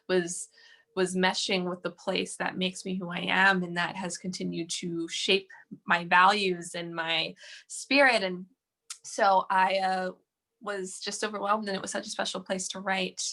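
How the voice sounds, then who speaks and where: Young woman guest, bright and slightly low, chatty and warm, many fillers, on a video call. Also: vocal fry. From United States. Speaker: guest, professional dancer. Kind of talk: casual interview chat.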